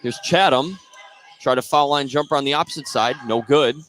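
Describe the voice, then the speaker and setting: A young man calling basketball play-by-play for a radio stream, brisk and rising with each shot. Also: medium pitch, a bit bright. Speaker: play-by-play announcer.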